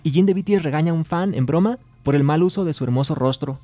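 A man's voice: fairly high pitch, fast, mellow, soft background music. A man hosting a scripted entertainment news show, reading reports and translated quotes in an upbeat announcer manner.

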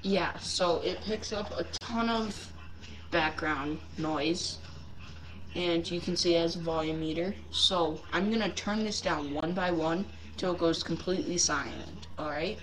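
A woman casually demonstrating software at home, unscripted and hesitant, thinking aloud as she goes. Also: lowish voice, measured pace, low-quality recording. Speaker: software reviewer. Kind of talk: casual unscripted screen-recording demo. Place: living room at home. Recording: home mic with clear background noise.

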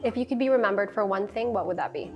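A woman's voice: medium pitch, expressive, music in the background. Upbeat young woman interviewer. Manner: upbeat, affirming, brisk questions. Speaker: interviewer, host. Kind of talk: interview questions. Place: dark studio set.

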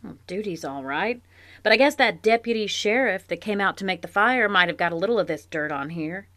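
A woman's voice: mid-range voice, expressive, brisk, a bit bright, no echo, natural voice. A woman plays a loyal farm wife who answers questions with guarded, pointed replies. Her voice sits in a middle register. It is a live radio play recorded at home. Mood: indignant. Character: sharp, loyal farm wife defending a neighbor. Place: home closet or desk. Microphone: home mic over video call.

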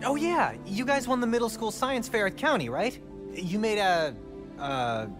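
Chatty, animated teenage boy. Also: sits high, moderate pace, over music. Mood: awkward, friendly. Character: chatty, good-natured, slightly awkward teenage school friend, male. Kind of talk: naturalistic video game acting.

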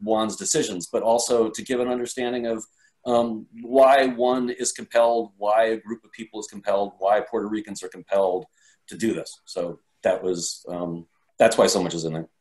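Older man, low halting voice. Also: fairly even intonation, a little mellow, low-quality recording. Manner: halting, searching for words. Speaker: director. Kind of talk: casual video call discussion. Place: home study with bookshelves. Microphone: webcam on a video call.

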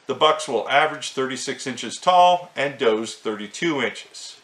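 A man's voice: medium pitch, animated, fairly bright tone. Middle-aged man presenting in an echoey room. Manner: clear, measured reading to camera. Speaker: host. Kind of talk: scripted farm-animal presenter talk.

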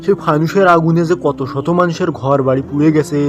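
Man acting a high-voiced, preachy cartoon schoolteacher. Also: steady tone, brisk, soft background music, natural voice. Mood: stern, preachy. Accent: Bogura, northern Bangladesh. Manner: mock agreement, rhetorical questions, preachy. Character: sarcastic, moralizing male schoolteacher scolding his pupil. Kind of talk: comic cartoon dialogue turning into sermon.

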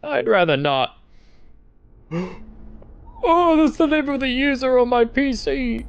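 A man's voice: extremely high pitch, animated, slow pace, gravelly, smooth, highs cut off. A young man doing casual, playful let's-play commentary, reacting and reading game text aloud. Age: young adult. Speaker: gaming video host.

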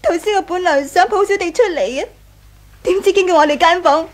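High-voiced, wronged young wife. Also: female voice, brisk. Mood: upset. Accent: Hong Kong Cantonese. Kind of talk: 1980s Cantonese radio drama acting.

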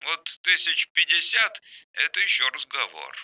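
A man voicing a curt, doubtful male production manager in an audiobook, speaking quietly and matter-of-factly. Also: a little high, wildly swooping pitch, normal speed, pitched above the performer's own voice, heavily acted, poor audio. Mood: reluctant.

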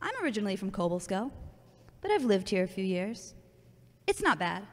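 Actress doing flirty forward woman in audio drama, very lively pitch, echoey. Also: fairly quick, natural voice. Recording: long echoey reverb.

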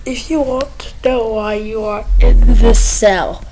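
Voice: mid-range voice, slow, background noise. A young video creator giving casual gaming commentary, rambling playfully and stumbling over words. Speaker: young video creator showing game cutscenes. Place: indoor room at home. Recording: home screen recording with music behind.

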